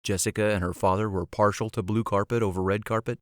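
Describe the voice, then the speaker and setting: A man's voice: on the low side, fairly even intonation, fast talker, no room sound, studio quality. A man reading scripted fantasy storybook narration as an audiobook narrator.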